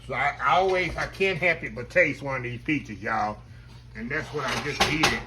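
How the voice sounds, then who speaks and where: A man, chatting to viewers as he cooks in his home kitchen, his voice slightly hoarse. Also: medium pitch, normal speed, very noisy. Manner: chatty, keeps addressing viewers as y'all. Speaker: home cook. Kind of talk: casual cooking demonstration.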